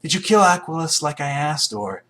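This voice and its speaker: An actor playing a sly, ruthless rival in a video game, wry and probing, with a husky voice. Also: male voice, a little high, normal speed, bright, forceful tone. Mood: wry, suspicious. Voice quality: husky, raspy. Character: the Hunter unmasked, sly ruthless male rival villain.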